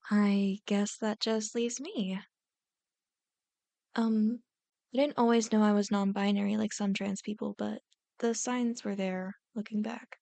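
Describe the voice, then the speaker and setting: A woman playing a warm, playful non-binary young adult friend in an ASMR roleplay, earnest and jokey. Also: mid-range voice, fairly even intonation, a little slow, creaky, no echo. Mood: sincere.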